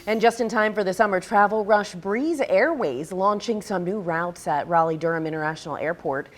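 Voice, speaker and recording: A woman's voice: medium pitch, normal speed, soft background music. A woman with an American accent reading scripted local television news as a morning anchor.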